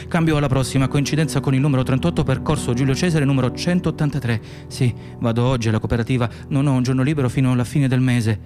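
An actor playing an exhausted, anxious immigrant nurse in a radio play, speaking in fragmented, repetitive phrases. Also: male voice, mid-range voice, close to an everyday voice. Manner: fragmented, self-muttering, repetitive. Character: exhausted, anxious Moroccan immigrant nurse, man, muttering inner thoughts. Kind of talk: radio theatre interior monologue and dialogue.

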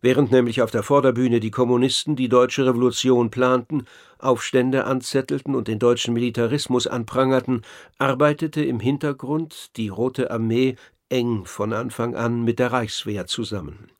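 A man narrating a scripted radio documentary in a measured, articulate, essayistic way. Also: medium pitch, fairly even intonation, dry recording, studio quality.

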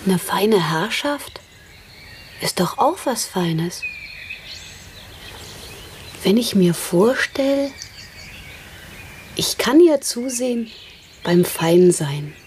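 A woman's voice: medium pitch, expressive, extremely slow, long pauses, darkish tone, slight background noise. An actress playing a dreamy, lovestruck young country girl who longs for fine service, in a German radio drama. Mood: wistful.

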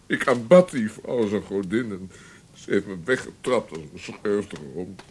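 A man's voice: on the low side, moderate pace, darkish tone, natural voice. An actor playing a heartbroken, guilt-ridden man with emotional, self-pitying delivery in a radio play. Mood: bitter, agitated. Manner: emotional, self-pitying, swinging from subdued to agitated. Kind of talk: Dutch radio play acting. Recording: hissy old radio play recording.

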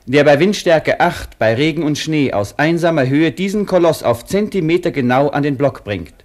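An actor as a radio play announcer, narrating scripted documentary-like text in a formal, declarative way. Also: male voice, on the high side, lively intonation, moderate pace, natural voice.

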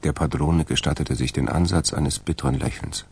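A man narrating a radio crime drama as a detached, dry storyteller with a gravelly, husky voice. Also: a bass voice, steady tone, moderate pace, exaggerated voice. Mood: detached, calm.

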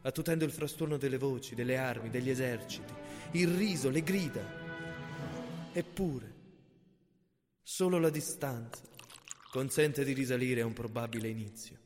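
A man's voice: mid-range voice, darkish tone, lightly acted. Man playing a solemn survivor in long reverb. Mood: solemn, brooding. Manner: solemn, measured. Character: weary survivor writing memoir, brooding over the dead. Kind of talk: literary radio drama monologue. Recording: long reverb, music underneath.

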